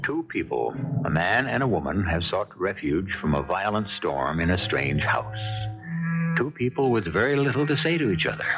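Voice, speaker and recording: A man hosting a 1970s radio mystery show, narrating in a measured, portentous, scripted style. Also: low-pitched, sing-song. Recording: dull vintage radio recording with music.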